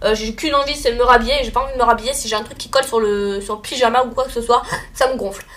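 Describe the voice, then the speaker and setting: A woman's voice: fairly high pitch, fairly even intonation, racing, fairly bright tone. A young woman with a slightly stuffy voice from a cold, chatting casually and rambling with many fillers about her monthly beauty favorites. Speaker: beauty vlogger.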